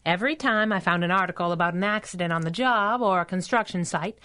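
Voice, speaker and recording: A woman playing a spirited young superhero sidekick in 1930s-style radio drama, brisk, wisecracking and teasing. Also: fairly low pitch, pushed and bright. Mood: keen, brisk. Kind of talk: 1930s radio adventure acting.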